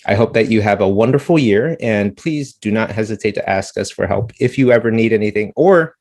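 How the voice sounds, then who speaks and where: A man's voice: lowish voice, lively intonation, brisk, a little mellow. A man working as a school dean, briefing parents on school policies in an earnest way, often repeating words and correcting himself. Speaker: dean of students. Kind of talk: informational presentation to parents.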